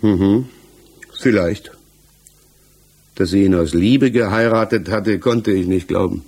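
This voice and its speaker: A man's voice: low-pitched, darkish tone, husky, full character voice. An actor playing a defensive, hesitant married village tradesman under questioning in a 1960s German radio play, searching for his words. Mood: reflective, uneasy. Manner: halting, searching for words, evasive.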